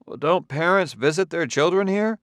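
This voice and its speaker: A man's voice: on the high side, lively intonation, normal speed, no room sound, voice pushed up, natural voice. Uneasy careful detective guy, read by a man, slightly raised voice, dry close audiobook sound. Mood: wary. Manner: careful, hesitant questioning. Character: cautious, uneasy male detective from Earth. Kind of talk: audiobook character voice.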